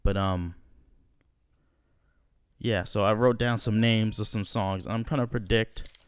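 Laid-back swearing young man, smooth low voice. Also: steady tone, takes it slowly, dry recording, clean studio sound. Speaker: podcast host. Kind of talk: casual profane podcast chat.

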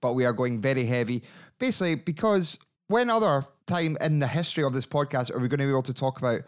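A man's voice: mid-range voice, expressive, fast talker. A man hosting a podcast in a casual, joking way. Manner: chatty, jokey, reads sponsor plugs. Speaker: podcast host.

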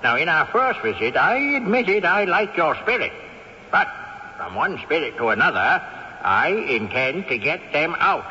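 A cantankerous old ghost. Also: male voice, fairly high pitch, very animated, moderate pace, pushed and bright, heavily acted. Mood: smug. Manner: haughty, scolding, sly chuckles. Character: cantankerous old male ghost, great-grandfather, possessive and scheming. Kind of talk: 1970s radio drama acting. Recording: dull old radio broadcast with reverb.

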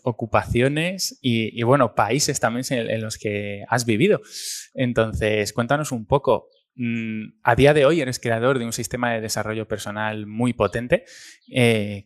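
A man hosting a podcast interview, greeting his guest brightly and asking long, polite questions with some hesitant fillers. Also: fairly low pitch. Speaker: podcast host.

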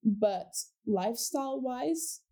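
A woman's voice: fairly high pitch, animated, slow pace, mellow. A woman from Portugal, a native Portuguese speaker, talking about her background in a reflective casual conversation with many restarts. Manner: reflective, with frequent restarts and fillers.

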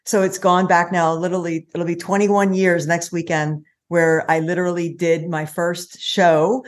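A woman's voice: fairly low pitch, flat delivery, normal speed. A middle-aged American woman and small business owner, telling her story in a chatty interview with many fillers. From United States. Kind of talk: casual interview chat.

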